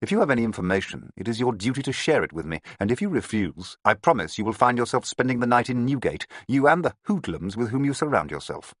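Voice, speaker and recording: A man's voice: deep voice, expressive, brisk, no echo, clean studio sound. A man voicing a stern, authoritative British police detective in an audiobook. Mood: commanding. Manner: forceful, authoritative.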